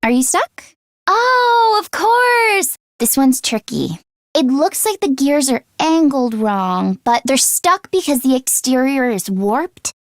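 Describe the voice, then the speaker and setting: A woman voicing a nervous, expressive teenage girl fighter in an English video game, with casual youthful phrasing. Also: high-pitched, slow pace, no echo, studio quality, exaggerated voice. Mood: thoughtful, flustered.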